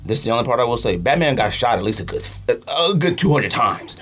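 A man's voice: medium pitch, expressive, quick pace, fairly bright tone, slightly rough. A man chatting casually as a podcast host, emphatic and opinionated, with slangy phrasing and repeats for emphasis. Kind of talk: casual podcast banter about movies.

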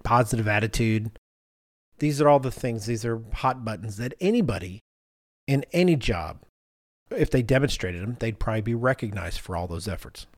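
A man's voice: mid-range voice, no echo. Man in his fifties, dry close sound. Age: 50s. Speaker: podcast host and blogger. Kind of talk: conversational discussion, reading out responses.

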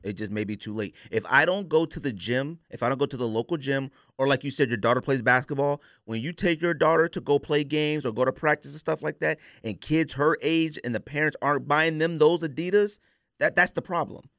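A man's voice: a little high, a little fast, a bit bright, no echo, clean studio sound. An American man in his forties hosting a podcast, debating sneakers casually and emphatically, repeating phrases for stress. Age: 40s. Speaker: podcast host.

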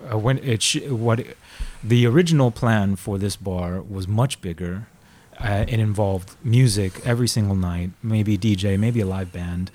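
A fairly low-voiced man in his forties, from Florida by way of Argentina. He talks as a bar owner in a relaxed interview, halting and restarting often and laughing at himself. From born in Argentina, grew up in Florida. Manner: hesitant, many restarts and fillers, laughs at himself. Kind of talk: casual interview chat.

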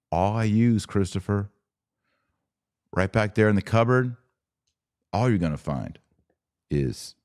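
A man's voice: sits low, a little mellow, no echo, clean studio sound. A man speaking as a podcast host in an informal, emphatic, slangy way, chatting casually and answering live comments. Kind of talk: casual livestream chat answering viewer comments.

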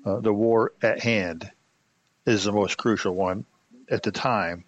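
A man giving explanatory commentary as a host, in a halting, reflective manner. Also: on the low side, steady tone. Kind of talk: explanatory commentary on old radio. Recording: slightly muffled, dull sound.

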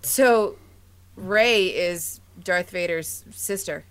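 Clear-voiced American woman chatting enthusiastically. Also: lowish voice, extremely slow. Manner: enthusiastic, gushing. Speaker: podcast co-host. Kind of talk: casual chat about sci-fi and comics.

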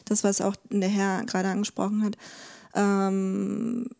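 A woman speaking as an expert guest in a conversational interview, explaining things haltingly and repeating words as she thinks. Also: medium pitch, little pitch movement, creaky, mellow. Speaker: podcast guest, legal expert.

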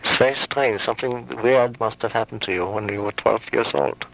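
A man hosting a late-night radio call-in show, musing slowly and hesitantly with many ums. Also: medium pitch, steady tone, vocal fry. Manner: thoughtful, hesitant, lots of ums. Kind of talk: casual call-in radio chat. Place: radio studio. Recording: dull lo-fi radio broadcast.

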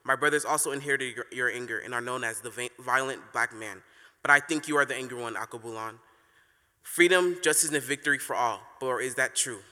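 A man's voice: mid-range voice, fairly quick, sharp tone. A man performing a spoken word poem on a live stage, impassioned and mournful. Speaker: slam poet. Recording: reverberant hall.

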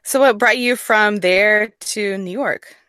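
A woman's voice: mid-range voice, slow pace, pushed and bright, smooth, no echo, clean studio sound. A woman hosting a podcast, chatting casually as she interviews a guest.

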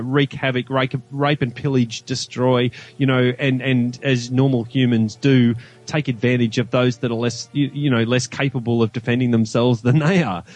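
A man with an Australian accent giving his opinions in a casual film discussion, emphatic and reasoning aloud as he goes. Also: medium pitch. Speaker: podcast guest reviewing a film.

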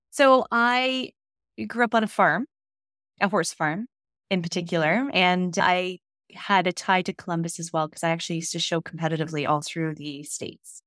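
Thirties woman, lower voice, upbeat, laughs easy, dry sound. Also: normal speed, fairly bright tone, dry recording. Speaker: real estate investor guest. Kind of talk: casual interview chat.

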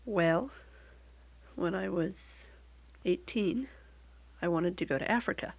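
A woman's voice: on the low side, slow pace. A woman with a Midwestern American accent, talking casually as an interview guest and telling things in a wry, lightly joking way. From Midwestern United States.

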